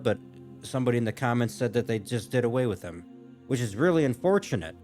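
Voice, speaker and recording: Male gaming commentator, medium pitch, unscripted and rambling, loose with swearing, music bed behind. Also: a little mellow. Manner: rambling, circling back to rephrase mid-thought; casual swearing. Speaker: gaming reaction host.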